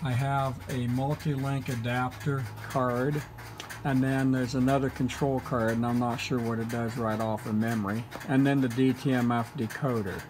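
A man giving an informal technical show-and-tell in a matter-of-fact, halting, explanatory way. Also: mid-range voice, level tone. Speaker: presenter, telephone equipment collector.

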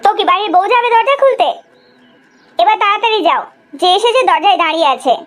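A woman plays a middle-aged cartoon mother with an extremely high, swooping voice. She is bossy yet affectionate, and speaks in an emphatic, scolding, exaggerated way. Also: expressive, fast. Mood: nagging. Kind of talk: animated cartoon family drama dubbing.

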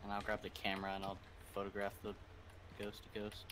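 A man's voice: lowish voice, completely flat delivery, brisk, noisy background. A man chatting casually with teammates during a game and telling them what to do. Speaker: video game player. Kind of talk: casual gaming chat, giving teammates instructions.

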